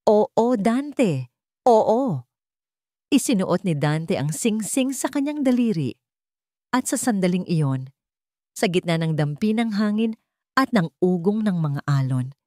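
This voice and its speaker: A woman's voice: fairly low pitch, a little mellow, dry recording, clean studio sound. A woman with a Filipino accent reading a scripted dramatic story as its narrator. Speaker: narrator of an online story channel.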